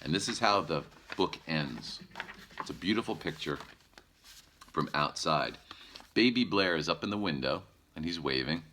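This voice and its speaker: A man's voice: a bass voice, bright, forceful tone. A man reading a children's story aloud playfully, with asides to young listeners.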